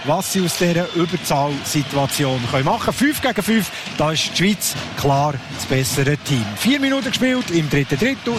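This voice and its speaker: A man's voice: high-pitched, noisy background. A man with a Swiss German accent giving live ice hockey commentary.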